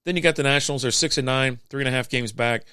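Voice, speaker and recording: A man hosting a podcast, reading out sports standings in a casual, chatty way with offhand quips, his voice going hoarse. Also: medium pitch, fast, sharp tone, no room sound, studio quality. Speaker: podcast host.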